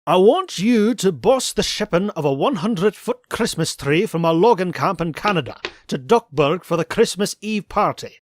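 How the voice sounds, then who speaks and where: A man's voice: high voice, lively intonation, normal speed, hardly any pauses, no echo, clean studio sound. A man voicing a cranky, miserly old male duck tycoon in a cartoon comic dub, given to indignant exclaiming. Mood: bossy. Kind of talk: comic book fan dub.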